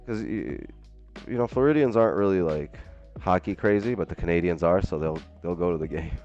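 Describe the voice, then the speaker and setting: A man's voice: sits low, brisk, a little mellow, highs cut off, faint music behind. A man from Brooklyn, New York, hosting a live trading stream and rambling casually with viewers and callers, saying "like" a lot. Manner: rambling, chatty, says "like" often. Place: home, living in Miami.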